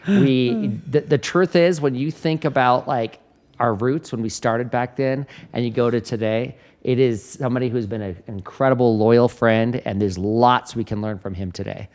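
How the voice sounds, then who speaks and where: Lively storytelling man in his fifties. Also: mid-range voice, moderate pace. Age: 50s. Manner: animated storyteller, laughs often. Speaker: podcast host. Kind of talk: podcast interview.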